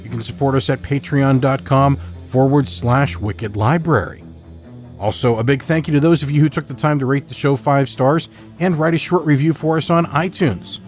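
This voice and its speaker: Male podcast host, measured and deliberate, mid-pitched voice, scripted intro read. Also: darkish tone, faint music behind.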